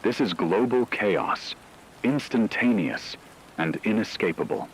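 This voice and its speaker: Male actor as solemn wartime radio anchor, low-pitched, portentous scripted delivery, faint noise and rain behind. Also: expressive, measured pace, rough recording. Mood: grave, ominous. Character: grave male radio news anchor announcing world war. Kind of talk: scripted dramatic emergency news broadcast.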